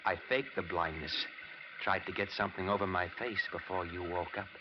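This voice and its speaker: An actor playing a cold, menacing American murderer with a hard, threatening delivery in a 1940s radio drama. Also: male voice, deep voice, steady tone, normal speed, natural voice. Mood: gloating, cold. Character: cold, menacing wife-killer holding a gun. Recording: noisy, muffled vintage radio recording.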